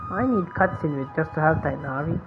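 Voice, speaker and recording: A woman's voice: sits low, animated, fairly quick. A woman streamer giving casual, reactive gameplay commentary in short muttered asides. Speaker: game streamer. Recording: dull lo-fi mic, faint game music.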